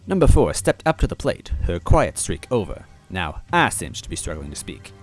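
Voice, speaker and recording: A man reading a first-person story aloud in expressive, scripted narration. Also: low voice, moderate pace, faint music behind. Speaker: story reader.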